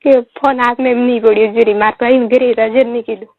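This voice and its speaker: High-pitched Marwari woman on a muffled call. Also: steady tone, fast talker, no echo. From Rajasthan, India. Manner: short replies and repeated questions. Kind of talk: casual private phone chat. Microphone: telephone. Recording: muffled lo-fi phone call recording.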